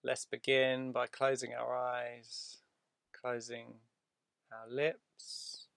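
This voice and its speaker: A male meditation guide speaks very slowly and evenly, with long pauses between short phrases. His soothing voice has a gravelly fry to it and is recorded close and dry. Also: medium pitch, little pitch movement, a bit bright, no room sound. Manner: soothing, deliberate, with drawn-out pauses.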